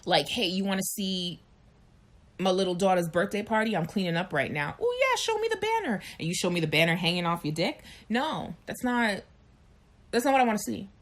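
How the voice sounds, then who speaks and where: A woman in her late thirties chatting frankly and animatedly on a live chat show, telling stories and acting out quick voices. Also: mid-range voice, sing-song. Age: 30s. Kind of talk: candid casual chat.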